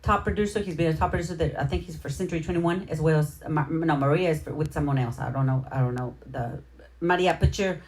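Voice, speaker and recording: A middle-aged woman with a low voice hosts a casual livestream. She talks straight to her viewers in a chatty, slangy way, as in an informal round of shout-outs. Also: fast talker, a little hiss or hum. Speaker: livestream host.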